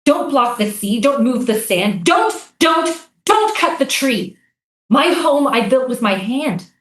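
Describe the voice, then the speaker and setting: A woman's voice: high-pitched, sing-song, slow pace, exaggerated voice. Echoey, defiant native islander, acted by a woman. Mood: pleading. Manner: incantatory, repetitive pleading. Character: defiant, mournful native islander pleading for stolen land. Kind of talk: theatrical staged reading. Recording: echoey room.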